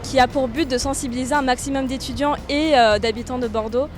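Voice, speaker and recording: A woman's voice: high-pitched, fairly even intonation, fairly quick, soft background music. A woman in her twenties, a business school student answering interview questions with occasional fillers. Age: 20s.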